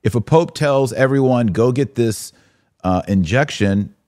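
A man's voice: medium pitch, animated, measured pace, darkish tone, no echo, studio quality. An American man hosting a live podcast, commenting and answering viewers in an emphatic way, repeating phrases for stress. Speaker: podcast host.

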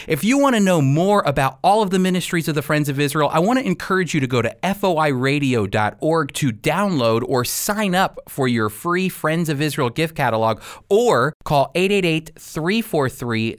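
A man hosting a radio ministry program, speaking directly and encouragingly to listeners as he gives announcements. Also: fairly high pitch.

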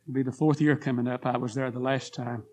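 A hoarse man preaching a revival sermon in a church, telling a story and building to fervent appeals. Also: medium pitch, level tone, fairly quick. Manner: rising to fervent pleas, then quiet prayer. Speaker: guest preacher. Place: church sanctuary.

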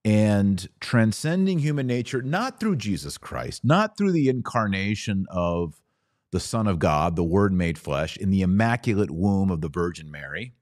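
A man hosting a podcast, giving a religious lecture in an emphatic, didactic manner with dramatic pauses. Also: lowish voice, sing-song, a little mellow, no echo, clean studio sound. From Texas. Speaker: podcast host.

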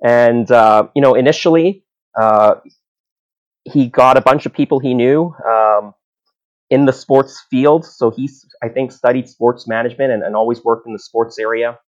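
A man's voice: mid-range voice, moderate pace. A man from Hong Kong, in his thirties, talking casually as an interview guest, with many fillers and restarts. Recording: dull lo-fi audio.

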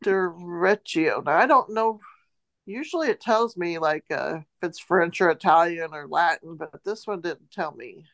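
A woman's voice: lowish voice, measured pace, dry recording. A woman co-hosting a podcast, casually running a word quiz and chatting with the others. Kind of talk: casual chat, quizzing co-hosts.

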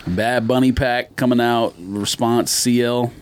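A man's voice: medium pitch, steady tone, a little slow. A man chatting casually as a podcast host, blunt and argumentative in his opinions. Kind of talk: casual sneaker talk among friends.

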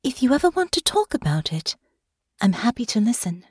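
A woman narrator voicing a gentle, caring young woman in an audiobook, soft and soothing but earnest. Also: on the high side, big pitch swings, fairly quick, husky, dry recording, clean studio sound, pitched above the performer's own voice. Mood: gently curious. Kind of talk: audiobook character acting.

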